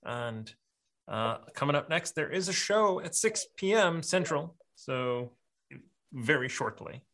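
A man signing off a live stream, thanking the event and pointing viewers to the channels in a friendly, halting way with many pauses. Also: fairly high pitch, lively intonation, fairly bright tone. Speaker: streamer, show host. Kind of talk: stream sign-off.

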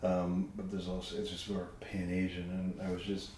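A man with a very deep voice hosts an interview, pausing often on "um" as he feels his way into each question. He sounds like he is in a very echoey room, with clear background noise behind him. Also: completely flat delivery, a little mellow. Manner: hesitant, many "um"s. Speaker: interviewer host. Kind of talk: conversational interview.

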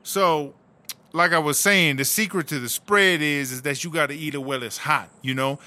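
A man's voice: fairly high pitch, steady tone, normal speed, sharp tone. A man playing a sassy, bossy female inmate, talking in emphatic slang in a comedic audio drama. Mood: explanatory, relaxed. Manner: slangy, emphatic, self-promoting.

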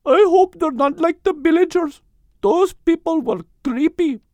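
Man putting on a high-pitched female water buffalo. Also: animated, a little slow, mellow, no echo. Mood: worried. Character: wary female water buffalo, chatty traveler. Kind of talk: audiobook character voice.

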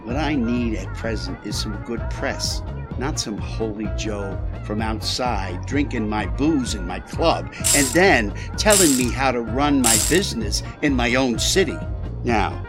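An actor playing a tough, commanding 1920s Chicago boss in a period radio drama, speaking forcefully. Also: male voice, medium pitch, lightly acted.

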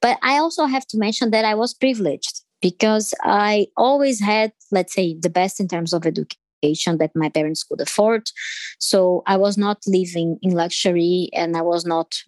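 A woman from Brazil with a Brazilian Portuguese accent, answering interview questions in English with many pauses, fillers and restarts. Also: mid-range voice, steady tone, darkish tone, no room sound. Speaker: interview guest.

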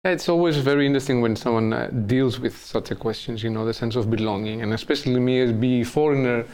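Middle-aged Greek man, native Greek speaker, gravel in the voice, halting and thoughtful interviewee. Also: medium pitch, darkish tone. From Greece. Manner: thoughtful, halting, many fillers. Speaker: artist guest. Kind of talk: interview conversation.

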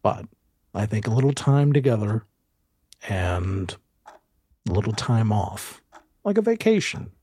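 A man acts out a film studio boss for a period audio drama. The voice is low, dark and slightly husky. He questions in a halting, deliberate way, courteous on top and quietly threatening underneath. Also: big pitch swings, dry recording, exaggerated voice. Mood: smooth, patronizing. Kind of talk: 1920s-set audio drama roleplay.

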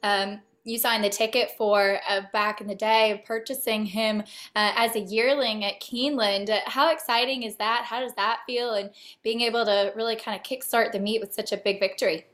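A woman hosting a casual interview podcast, her voice hoarse and raspy from a cold, chatty and upbeat with plenty of fillers. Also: fairly high pitch, steady tone, normal speed, fairly bright tone, dry recording. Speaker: podcast host.

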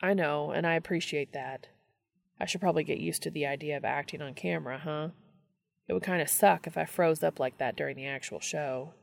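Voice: medium pitch, fairly quick, gravelly, long reverb tail, natural voice. A reader playing a casual, slightly awkward male baker in an audiobook story, with informal, hesitant phrasing. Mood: casual, self-conscious.

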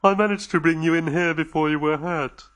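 A man voicing a nervous, gentle male alien who speaks anxiously and apologetically. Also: a little high, fairly even intonation, brisk, pitched above the performer's own voice, close to an everyday voice.

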